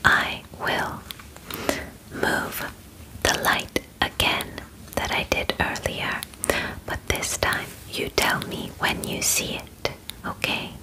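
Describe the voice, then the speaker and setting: A young woman voicing a calm, clinical alien examiner in an ASMR roleplay, speaking formal, reassuring instructions in a soft breathy whisper. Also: slow, lightly acted, low-quality recording. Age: young adult. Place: bedroom, indoors. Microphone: close sensitive microphone.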